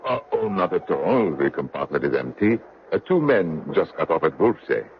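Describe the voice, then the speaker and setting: Deep-voiced, affable traveling salesman. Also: sing-song, normal speed. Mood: affable, fussy. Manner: hesitant, polite, talkative. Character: affable traveling salesman, disguised war veteran, hiding nerves. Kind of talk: old-time radio drama acting. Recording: muffled radio drama recording with noise.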